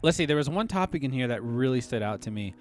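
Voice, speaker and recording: A man's voice: medium pitch, animated, fast, darkish tone. A man in his late thirties with an American accent, hosting a podcast in casual, slangy chat. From California, United States. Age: late 30s. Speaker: podcast host.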